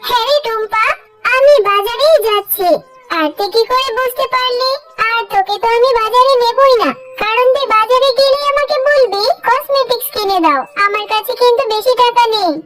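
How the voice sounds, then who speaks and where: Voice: extremely high pitch, moderate pace. An actor voicing a poor, weary but caring mother who scolds and soothes, in a cartoon story with music behind. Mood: scolding. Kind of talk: Bengali cartoon story dubbing.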